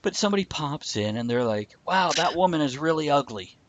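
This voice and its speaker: A man's voice: on the high side, expressive, a little fast. A man hosting a casual live talk show in a joking, irreverent, teasing manner, with some mild swearing. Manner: irreverent, teasing, mildly profane. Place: live internet stream. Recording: dull lo-fi audio, slight noise.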